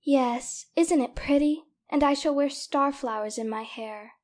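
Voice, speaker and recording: A dreamy, cheerful young heroine of a classic storybook , played by a woman. Her voice sits fairly high. She speaks expressively, with a wistful touch, as in a dramatized audiobook. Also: unhurried, darkish tone. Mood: bright, light. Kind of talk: dramatic audiobook character reading.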